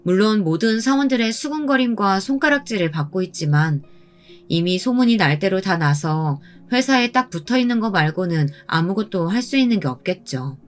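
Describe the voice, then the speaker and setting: Calm woman narrating a story over soft music. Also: medium pitch. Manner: calm and pointed, recounting with indignation. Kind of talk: scripted first-person story narration. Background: soft background music.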